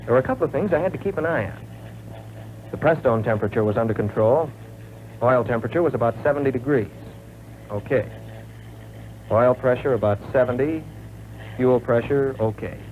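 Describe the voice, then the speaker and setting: An actor playing an earnest young American military pilot, recounting his flight training in measured, folksy 1940s training film narration. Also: medium pitch, natural voice. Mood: focused. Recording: tinny, noisy old film soundtrack.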